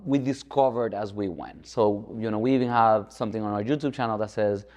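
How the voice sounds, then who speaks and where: A man's voice: on the low side, a little fast, a little mellow. A man from the Dominican Republic talking informally as an architect guest in an interview, often checking in with the listener. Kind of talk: conversational interview. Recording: echoey hall-like reverb.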